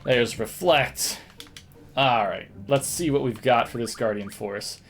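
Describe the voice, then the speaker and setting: A man streams a video game with casual, think-aloud commentary. His voice sits at an average pitch, and he sometimes hums to himself. Game music plays behind him. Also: measured pace. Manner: thinks aloud, hums little nonsense tunes.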